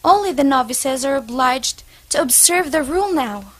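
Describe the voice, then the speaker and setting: A woman's voice: high-pitched, animated, moderate pace, no room sound. A woman playing an earnest, disillusioned nun in a stage play, declaiming her lines. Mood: earnest, disapproving. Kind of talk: amateur stage play acting.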